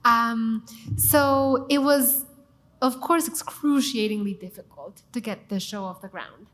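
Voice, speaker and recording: A woman with a Mexican accent talks with enthusiasm and emphasis. Her voice sits fairly high. She speaks as a guest in a panel conversation. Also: a little slow, fairly bright tone, poor audio. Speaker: TV cooking show host, guest.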